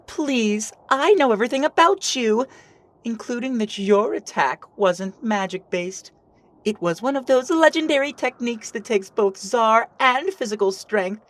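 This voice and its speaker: A woman playing a smug, condescending female villain in an animated action story, with theatrical, drawn-out delivery. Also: medium pitch, close to an everyday voice. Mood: mocking. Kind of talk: amateur animated action voice acting.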